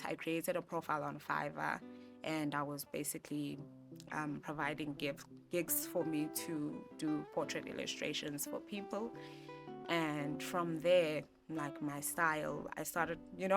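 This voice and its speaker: A South African woman in her twenties, talking in a soft, reflective way with many fillers and restarts during an interview. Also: deep voice, gravelly. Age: 20s. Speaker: interviewee, graphic designer. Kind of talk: relaxed personal interview. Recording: music bed playing behind voice.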